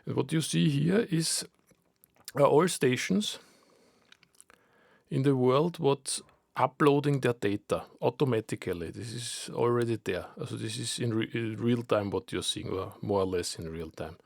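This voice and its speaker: A man who is not a native English speaker explains an idea informally and unscripted, halting and repeating himself as he searches for words. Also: medium pitch, creaky, no room sound. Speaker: amateur radio enthusiast presenting an idea.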